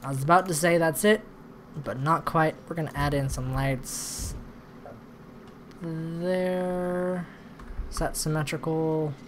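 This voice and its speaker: A man doing casual let's-play commentary, thinking aloud in a rambling way, with a slightly hoarse voice. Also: a little high, steady tone, slow, pauses often. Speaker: host of a gaming channel. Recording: noisy recording with background ambience.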